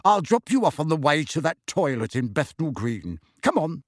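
A man voicing a kindly but harried middle-aged wizard father who talks brisk and earnest, in a dry audiobook reading. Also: a little high, very animated, no echo. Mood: earnest, agitated. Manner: brisk and earnest, clipped when tense.

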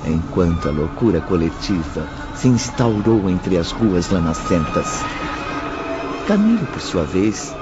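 A man narrating a radio drama in a measured, dramatic, literary way. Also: sits low, sing-song. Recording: slightly muffled, music underneath.

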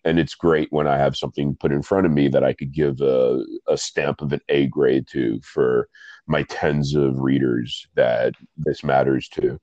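Very deep-voiced stuffy man hosting. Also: flat delivery, dark tone, no room sound, lo-fi sound. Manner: rambling, self-deprecating, quick asides. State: stuffy nose. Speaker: podcast host and coffee reviewer. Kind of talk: casual interview chat.

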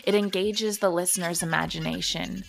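A woman's voice: lowish voice, fairly even intonation, moderate pace, gravelly, a little hiss or hum, poor audio. A woman giving earnest, thoughtful answers as a creative guest in a conversational interview. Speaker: podcast guest, audio drama creator.

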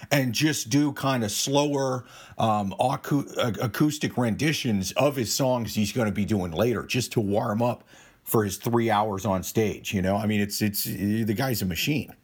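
Sick podcast guy, husky croaky voice, cussing and joking around. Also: mid-range voice. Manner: crude joking, profane. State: bad cold, losing his voice. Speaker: podcast co-host. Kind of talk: casual podcast chat.

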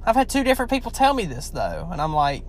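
A man's voice: on the high side, wildly swooping pitch, fast talker, a little hiss or hum. A young man from Louisiana with a heavy Southern accent, chatting casually and rambling to his viewers. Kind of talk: casual talk to camera.

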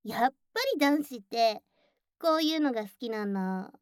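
A voice actress playing a curt, teasing young childhood friend who works as a cafe maid, in an ASMR audio drama. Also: female voice, fairly high pitch, expressive, normal speed, smooth, no echo, studio quality, lightly acted. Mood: teasing, flustered. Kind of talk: Japanese ASMR situation voice drama.

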